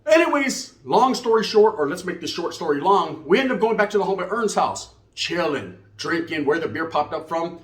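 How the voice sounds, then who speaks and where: A man's voice: high-pitched, big pitch swings, fairly quick, few pauses. A man with a Chicano Californian American accent telling a comedic story casually, in a streetwise, slangy way peppered with Spanish words. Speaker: comic storyteller. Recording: roomy, echoey sound.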